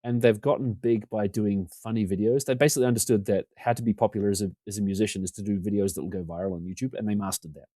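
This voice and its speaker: A middle-aged New man talks fast and keeps rambling on, poking fun at himself. His voice sits low and mellow, with a dark, relaxed tone. It sounds dry and close, as on a remote call mic at home. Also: no echo. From New Zealand. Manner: talkative, rambling, self-deprecating. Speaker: podcast guest. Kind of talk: casual conversation. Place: home room.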